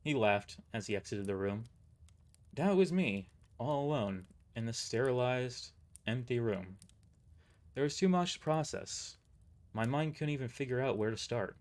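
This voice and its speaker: A man's voice: mid-range voice, expressive, unhurried. A man narrating an audio drama in the first person, as scripted storytelling.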